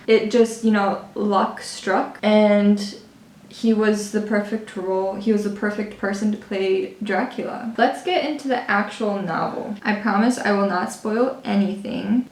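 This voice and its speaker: A woman talks casually about a book she loves, loose and chatty, with plenty of fillers and a laugh now and then. Her voice sits at a middle pitch, and the room gives it a clear echo. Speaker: book and makeup vlogger. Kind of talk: casual book review talk. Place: lives in Budapest. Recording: roomy echo.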